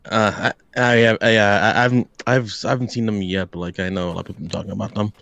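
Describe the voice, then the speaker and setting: A man's voice: on the low side, fairly even intonation, a little fast, gravelly, fairly bright tone, no room sound. A man giving casual reactions as a guest, hesitant and often repeating his words as he starts. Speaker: guest reacting to game trailers.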